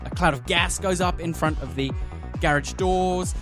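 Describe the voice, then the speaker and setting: A man's voice: fairly high pitch, moderate pace, music in the background. A man narrating a tabletop roleplaying game as game master, with descriptive, dramatic storytelling.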